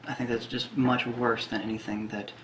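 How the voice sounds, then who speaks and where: A young man around twenty giving a personal account in halting, broken phrases. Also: mid-range voice, flat delivery, fairly bright tone, a little hiss or hum, low-quality recording. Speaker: interviewee.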